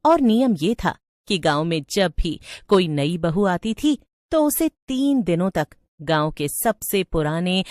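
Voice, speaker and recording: A woman's voice: on the low side, darkish tone, dry recording, clean studio sound. A woman storyteller reading a scripted tale as narrator.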